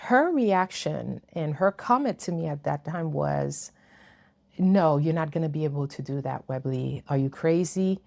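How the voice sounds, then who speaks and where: A woman in her fifties tells her own story to camera in a low, earnest voice. English is not her first language, and she talks reflectively, laughing lightly now and then. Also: lively intonation, measured pace, creaky, a little mellow. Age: 50s. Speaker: YouTuber.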